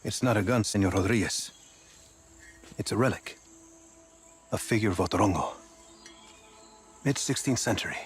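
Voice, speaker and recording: Low-voiced scholarly archaeologist. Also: male voice, normal speed, long pauses, husky, soft background music. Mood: defensive, fussy. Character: earnest, scholarly male archaeologist, precious about relics. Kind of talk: video game dialogue acting.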